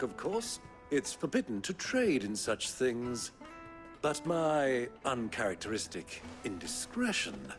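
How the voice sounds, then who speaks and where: Florid, theatrical British nobleman. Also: medium pitch. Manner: pompous, florid, sly diction. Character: crafty, theatrical older nobleman, an uncle. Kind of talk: video game voice acting.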